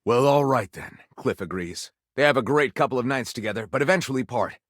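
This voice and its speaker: An actor playing a theatrical, wry male storyteller in a game, narrating tales dramatically and savouring each title with pauses. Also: medium pitch, lively intonation, no room sound, studio quality, close to an everyday voice. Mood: theatrical, relishing.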